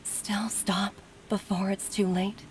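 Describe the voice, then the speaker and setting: A woman's voice: mid-range voice, little pitch movement, takes it slowly, slight background noise, natural voice. Woman acting a grave, worried female physician. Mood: pleading concern. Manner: grave, soft, sighing delivery. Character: earnest, worried female physician and mother, self-reproachful. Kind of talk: dramatic video game voice acting. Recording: game dialogue over background music.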